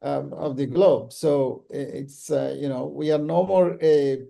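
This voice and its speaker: A man hosting an online business summit, talking casually and haltingly with many ums and uhs over a video call. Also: on the high side, steady tone, gravelly, mellow. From Bangladesh. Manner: hesitant, full of ums and uhs. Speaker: summit host.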